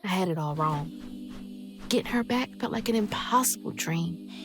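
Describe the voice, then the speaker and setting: A woman's voice: lowish voice, moderate pace. An actress playing a determined, emotional young woman, in an earnest video game performance. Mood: reflective, wistful. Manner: expressive, earnest. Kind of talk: video game acting with reflective narration. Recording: cinematic music behind the voice.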